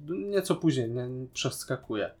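A man's voice: medium pitch, animated, moderate pace, darkish tone, faint music behind. A man co-hosting a podcast in casual conversation, explaining his opinions and asking questions. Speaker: podcast co-host. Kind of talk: casual chat, film and series discussion.

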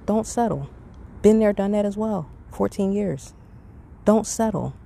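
A woman's voice: low voice, dark tone. A middle-aged woman hosting a podcast alone, talking off the cuff in a candid, rambling way and giving emphatic advice. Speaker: podcast host. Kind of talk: casual unscripted solo podcast monologue.

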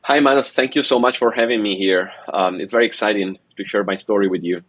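A man in his forties from Italy, speaking as a cardiologist guest, measured with frequent hesitations. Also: lowish voice, slightly rough, no echo. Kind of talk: conversational interview.

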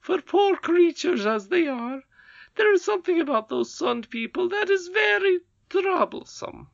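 Brief: a high, swooping, greedy goblin queen, read by a woman, storybook villain, dull dry recording. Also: animated, measured pace, gravelly, dry recording, full character voice. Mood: imperious. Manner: shrill, sweeping, imperious. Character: haughty scheming goblin queen, greedy and cruel.

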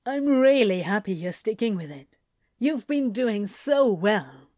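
A woman's voice: mid-range voice, animated, a little slow, dark tone, no room sound, clean studio sound, close to an everyday voice. A woman playing a mischievous, flirty male yoga instructor in a fan fiction audio drama, with a teasing, murmuring drawl. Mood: fond, teasing.

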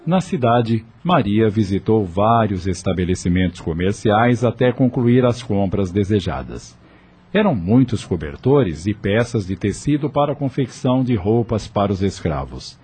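A man's voice: lowish voice, big pitch swings, moderate pace, a little mellow, husky, soft background music. A man narrating a scripted radio drama as its storyteller.

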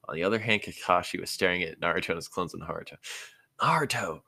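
A man's voice: low voice, fairly even intonation, very fast, gravelly, bright, forceful tone. A man narrating a scripted story as an amateur reader, occasionally stumbling and rereading phrases. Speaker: amateur audiobook reader of fan fiction.

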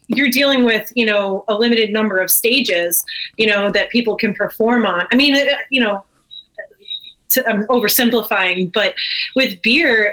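A woman's voice: on the high side, a bit bright, rough recording. A woman speaking as a guest in a casual interview, earnest and full of conversational hedges. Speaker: guest, brewery co-owner.

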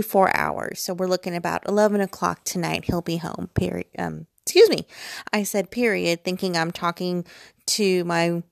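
Chatty American woman, creaky voice. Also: medium pitch, a little mellow, dry recording, studio quality. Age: 40s. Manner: chatty, self-deprecating, digressive. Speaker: vlogger. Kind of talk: casual rambling personal chat. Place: bedroom at home, lying in bed.

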